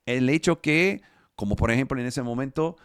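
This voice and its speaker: A man preaching in Spanish, which is not his first language, over a video call, reading scripture slowly and often searching for his words. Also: mid-range voice, clear, resonant tone, no room sound, clean studio sound. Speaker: pastor.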